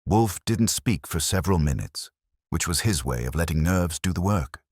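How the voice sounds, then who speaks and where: Very deep dramatic male storyteller. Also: lively intonation, moderate pace, darkish tone, slightly rough, no room sound, clean studio sound. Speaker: audiobook narrator. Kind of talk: scripted first-person detective story narration.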